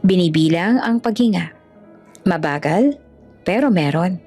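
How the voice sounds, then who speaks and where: A woman's voice: fairly low pitch, expressive, measured pace, warm tone, breathy edge. A woman narrating a scripted romance story in a dramatic storytelling style. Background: background music.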